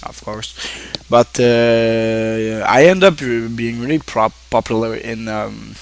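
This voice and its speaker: A French-speaking man from Quebec talks to his viewers in English with a Québécois French accent. His mid-pitched voice has a gravelly fry. He stops, restarts and fills gaps often as he goes. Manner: halting, with many fillers and self-restarts. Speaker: streamer. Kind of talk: casual thank-you talk to viewers.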